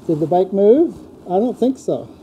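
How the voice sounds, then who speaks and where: A man's voice: high-pitched, sing-song, normal speed, warm tone, slight background noise, rough recording. An older man casually talking through motorcycle fabrication step by step in a large workshop, repeating words as he goes. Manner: plain-spoken, explains step by step with frequent word repeats. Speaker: motorcycle builder showing his shop work.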